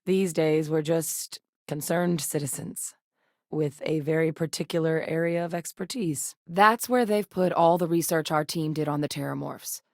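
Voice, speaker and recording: Sci-fi game military scientist lady, lowish voice, composed and earnest, dry studio sound. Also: moderate pace, no room sound. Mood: serious, thoughtful. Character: composed, frank woman military scientist in space. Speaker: video game voice actress. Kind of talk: acted video game dialogue.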